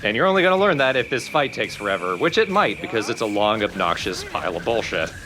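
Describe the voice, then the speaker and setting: A man's voice: lowish voice, lively intonation, fast talker, music in the background. A man explaining a video game as he plays, casually and with a dry, jaded edge. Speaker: let's play commentator. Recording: loud game sound behind voice.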